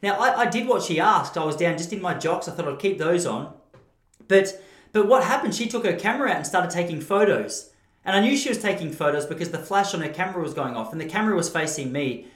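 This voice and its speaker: A man's voice: high voice, lively intonation, fast talker. An Australian man hosting a podcast, telling comic stories in a rambling, self-interrupting monologue. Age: adult, married. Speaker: podcast host. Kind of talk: comic storytelling monologue to camera. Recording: roomy, echoing room sound.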